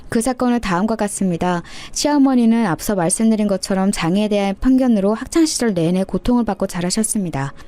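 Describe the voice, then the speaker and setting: A woman's voice: medium pitch, mellow. A woman reading a scripted first-person story as a narrator in a radio-story style.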